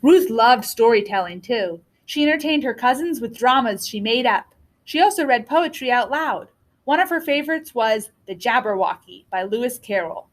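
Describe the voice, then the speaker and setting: A woman reading a children's book aloud as a storyteller, with deliberate, expressive phrasing. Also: a little high. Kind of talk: read-aloud storybook narration.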